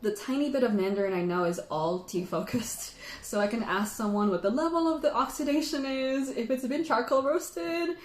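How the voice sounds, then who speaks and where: A woman's voice: on the high side, normal speed, hardly any pauses, roomy sound. A woman who grew up in the Philippines hosts a casual livestream while tasting tea, chatting freely, laughing often and reading out viewer comments.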